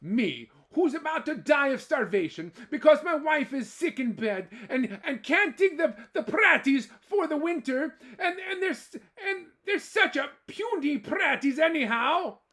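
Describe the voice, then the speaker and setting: A man's voice: very high-pitched, very animated, a little slow, hardly any pauses, bright, forceful tone, voice pushed up, heavily acted. A man voicing a lazy, self-pitying husband in a storybook folktale, whining and melodramatic. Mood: plaintive. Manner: whiny, melodramatic.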